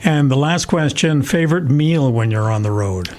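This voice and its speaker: A middle-aged or older man with a Canadian accent, hosting a podcast and putting questions in a measured, conversational way. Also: medium pitch, expressive, darkish tone. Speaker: podcast host, interviewer. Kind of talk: conversational interviewing.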